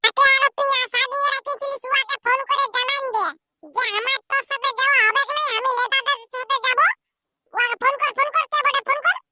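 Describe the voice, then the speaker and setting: A performer voicing a brash, slangy young village lad in a comedy cartoon, with a Purulia accent and a squeaky pitched-up voice. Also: fairly even intonation, fast talker, noisy background. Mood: pushy, teasing. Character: rowdy young village lads squabbling and teasing, cartoon. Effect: pitched-up voice filter. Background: loud music.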